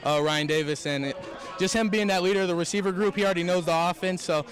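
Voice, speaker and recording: A man's voice: sits high, quick pace. A young man, a college football quarterback, answering questions in a press interview. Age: young adult.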